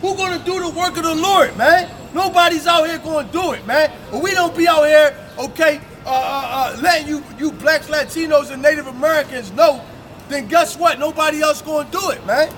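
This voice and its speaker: High-voiced man preaching hard on a street. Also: a little fast. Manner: forceful, ends phrases with "man". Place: city street outdoors. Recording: street crowd noise.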